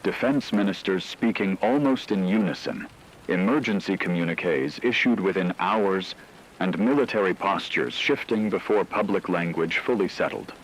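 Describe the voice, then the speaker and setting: A grave radio drama news anchor, performed by a man, with a deep, low voice. He delivers scripted emergency bulletins in measured, solemn phrases. A faint noisy wash like rain sits behind him. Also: natural voice.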